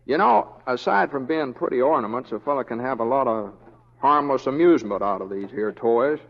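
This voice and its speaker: An actor playing an easygoing young western deputy in a radio drama, stubborn in a folksy, hesitant way. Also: male voice, medium pitch, lively intonation, fairly quick, vocal fry. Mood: mild, folksy. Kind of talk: 1940s radio acting. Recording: dull old radio broadcast recording.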